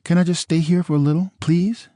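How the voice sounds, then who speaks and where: A man's voice: fairly even intonation, brisk, dark tone, dry recording, studio quality, natural voice. Man putting on a teary, pleading woman. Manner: high, teary, apologetic. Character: tearful pleading woman, his ex-wife. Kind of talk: audiobook character voice.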